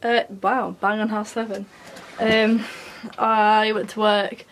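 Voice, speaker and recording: A woman's voice: medium pitch, flat delivery, slow pace, slight background noise. A teenage woman with a Yorkshire accent, chatting casually to a phone at arm's length in her bedroom about her day. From England. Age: teens. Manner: chatty, soft-spoken, filler-heavy. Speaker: vlogger. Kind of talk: casual vlog narration. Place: bedroom at home, indoors. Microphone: phone held at arm's length.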